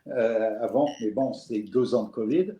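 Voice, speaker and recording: A man's voice: mid-range voice, normal speed, very noisy. Casual parisian guy chatting live, lots of ums, roomy sound. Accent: Parisian French. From France. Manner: rambling, reflective, many fillers and restarts. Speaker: host. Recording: roomy echo.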